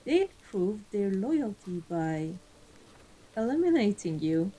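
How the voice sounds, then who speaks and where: A woman playing an amused, ruthless vampire mafia boss in a scripted ASMR roleplay, speaking in a deliberate, theatrical, condescending way. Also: medium pitch, sing-song, warm tone, poor audio. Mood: cool, commanding. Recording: voice over background music.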